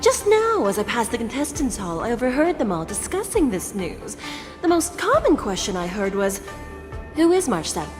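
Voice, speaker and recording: A woman's voice: a little high, wildly swooping pitch, normal speed. An actress playing a polite, formal female official who greets guests in a video game. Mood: courteous. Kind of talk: video game character voice acting. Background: game music.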